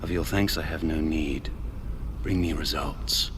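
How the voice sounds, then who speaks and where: An actor playing a measured, authoritative Victorian knight commander in a video game, formal and guarded. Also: male voice, very deep voice, fairly even intonation. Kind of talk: dramatic video game acting. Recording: long hall reverb, faint background noise.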